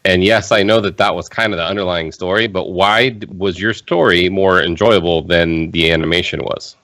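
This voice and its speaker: A man's voice: sits low, normal speed, fairly bright tone, dry recording. A man co-hosting a casual gaming podcast, giving frank opinions with many "I mean"s. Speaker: podcast co-host.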